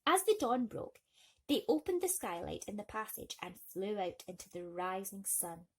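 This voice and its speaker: A woman's voice: mid-range voice, big pitch swings, normal speed, husky. A woman storyteller narrating a bedtime story expressively for children.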